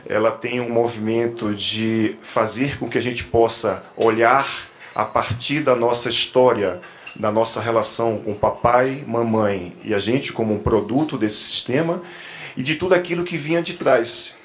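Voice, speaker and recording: A man's voice: medium pitch, unhurried. A man with a Brazilian accent from Bahia gives an informal explanatory lecture as a therapist and trainer, rephrasing and repeating words as he goes. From Salvador, Brazil. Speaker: therapist and trainer giving a talk. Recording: clear background room noise.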